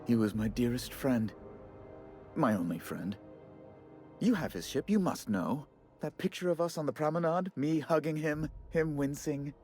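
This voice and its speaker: A theatrical male colonial official from a video game, acted by a man, florid and easily flustered. His pitch swings dramatically across every phrase, fussy and apologetic, with music playing underneath. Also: animated, moderate pace, a little mellow, lightly acted. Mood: wistful grief. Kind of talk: video game character acting.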